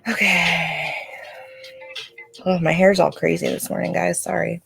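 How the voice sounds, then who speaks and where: Woman, livestream card-reading host, lowish gravelly scratchy voice, chatty and reflective. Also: level tone, measured pace, a bit bright, soft background music. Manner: chatty, reflective, talks straight to viewers. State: scratchy throat, clearing it.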